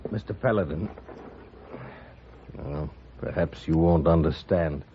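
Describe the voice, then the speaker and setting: Casting: an earnest, dignified rancher in an old radio western, deep and deliberate. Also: male voice, breathy edge, full character voice. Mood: solemn, moved. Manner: earnest, solemn. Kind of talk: 1950s radio western acting. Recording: dull, slightly noisy old radio recording.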